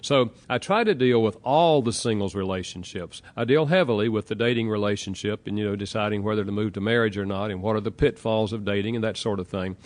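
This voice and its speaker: A man with a Southern American accent, an author answering interview questions in a calm, explanatory way and walking through examples. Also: lowish voice, fast, few pauses. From Southern United States.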